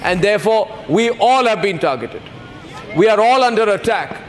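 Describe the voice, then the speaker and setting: High-pitched, strident Indian male news anchor. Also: animated, normal speed. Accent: Indian English. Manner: strident, declamatory, repeats phrases for emphasis. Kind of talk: impassioned live breaking news commentary.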